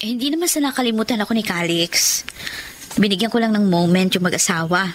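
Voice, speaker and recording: A woman's voice: mid-range voice, fast, a little hiss or hum, close to an everyday voice. An actress playing a kind, self-sacrificing young woman who tells of lost love in an earnest, sentimental Tagalog radio drama style. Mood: resigned. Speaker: letter sender narrating her story in radio drama.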